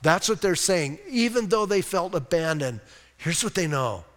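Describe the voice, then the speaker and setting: A male pastor preaching a conversational, emphatic sermon. He asks rhetorical questions and reads scripture slowly and deliberately. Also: fairly high pitch, animated. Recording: reverberant hall sound.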